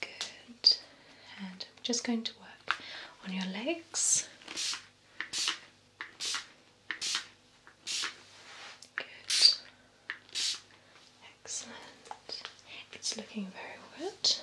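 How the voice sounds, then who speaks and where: A young woman playing a poised, courteous female vampire spa beautician in a breathy, soft ASMR whisper. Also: on the high side, lively intonation. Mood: soothing, professional. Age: young adult. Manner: slow, soothing, formal, attentive. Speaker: ASMR performer. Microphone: close binaural microphone. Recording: close ASMR microphone with background hiss.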